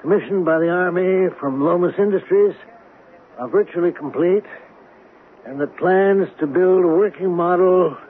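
A thin, phone-like radio recording carries a man acting a nervous male executive. His voice sits fairly high and he stammers and hesitates in old radio-drama style. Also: normal speed, natural voice. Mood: businesslike, uneasy. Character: nervous, distracted male executive of a weapons firm. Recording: narrow-band 1970s radio broadcast, faint noise.

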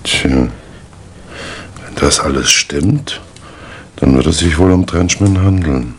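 A man's voice: very deep voice, slow, slight background noise. A man playing a blunt, impatient police inspector in a 1960s German radio crime play, questioning in a pedantic, exasperated manner. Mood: businesslike, puzzled.

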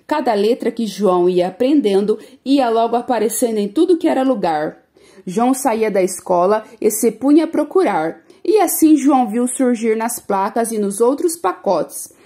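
A woman reading a children's picture book aloud in a gentle, expressive way. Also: fairly high pitch. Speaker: children's story reader.

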